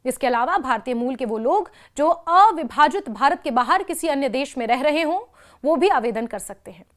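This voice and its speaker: A woman with an Indian accent narrates a scripted explainer in a high-pitched voice. Her intonation moves up and down a great deal. She presents like a news explainer, teaching point by point. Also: expressive, normal speed, fairly bright tone.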